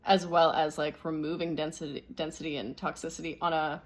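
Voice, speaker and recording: A woman speaking as a spiritual teacher, explaining things unscripted and thinking aloud in measured phrases. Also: deep voice, level tone.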